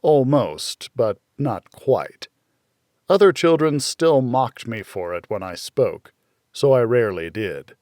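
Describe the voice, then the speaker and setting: A man reading first-person fiction as an audiobook narrator, in a measured, dramatic storytelling manner. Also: medium pitch, lively intonation, darkish tone, no room sound, studio quality.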